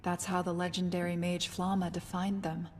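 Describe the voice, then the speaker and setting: A woman playing a stern, detached elf mage who speaks deliberately and severely in an English anime dub. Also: lowish voice, completely flat delivery, a little mellow, slight background noise, natural voice. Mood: grave, detached. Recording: music behind the voice.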